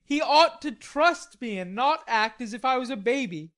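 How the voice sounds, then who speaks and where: A woman reading an audiobook, voicing a stubborn, hot-tempered young gentleman in indignant outbursts. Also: on the high side, lively intonation, moderate pace, pitched above the performer's own voice. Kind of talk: audiobook character voice.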